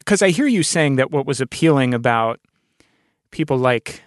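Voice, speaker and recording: A man hosting a podcast interview in a thoughtful conversational style, with wordy, deliberate and articulate phrasing. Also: mid-range voice, animated, no echo, studio quality.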